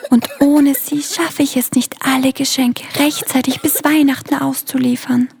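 A child acting a gentle, worried Christmas spirit with a breathy, half-whispered voice in a school radio play. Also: high-pitched, flat delivery, normal speed, a little hiss or hum.

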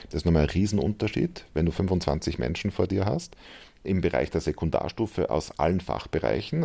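Male educator in interview, Austrian accent, dark low voice with gravel, conversational explaining. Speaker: teacher trainer and school radio founder.

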